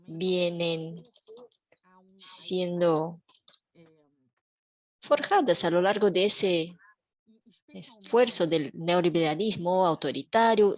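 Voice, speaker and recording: A woman interpreting a panel talk in a measured, even way over a video call. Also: fairly low pitch. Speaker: simultaneous interpreter.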